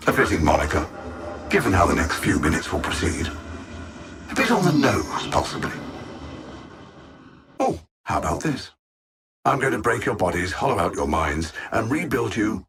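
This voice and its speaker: An actor playing an arrogant, cold alien supervillain in a video game, haughty and theatrical, savoring every word. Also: male voice, deep voice, sing-song, a little slow, long pauses, a bit bright, husky, exaggerated voice. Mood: sardonic, menacing.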